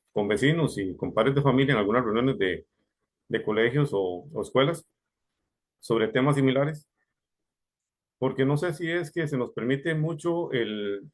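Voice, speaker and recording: A man who serves as a police officer speaks as a guest on a panel, at an ordinary middle pitch. He is courteous but halting, doubling back on words as he works through his thoughts. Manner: polite, hesitant with repetitions. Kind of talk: panel discussion.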